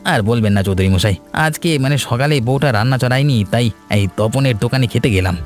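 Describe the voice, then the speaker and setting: A man's voice: mid-range voice, a little fast, faint music behind, close to an everyday voice. A man voicing a shifty, cowardly village schemer in a cartoon folk tale, blustering and whiny, stammering when cornered. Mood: scheming, wheedling. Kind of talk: animated folk tale voice acting.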